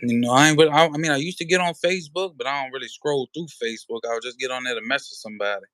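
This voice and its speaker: A man's voice: mid-range voice, rapid-fire, a bit bright, no room sound. Laid-back man chatting on a livestream. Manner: laid-back, slangy, many "you know" fillers. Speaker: livestream host. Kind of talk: casual livestream chat.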